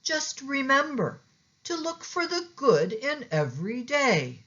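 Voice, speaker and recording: A woman's voice: high voice, expressive, slow, fairly bright tone, highs cut off, pitched above the performer's own voice, full character voice. A woman voicing a wise old owl in a children's storybook, giving instructive, kindly delivery. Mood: advising.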